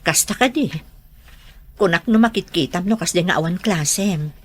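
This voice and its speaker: A woman's voice: lowish voice, lively intonation, moderate pace, slightly rough. A woman playing a concerned, questioning middle-aged aunt in a radio drama. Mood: concerned, probing.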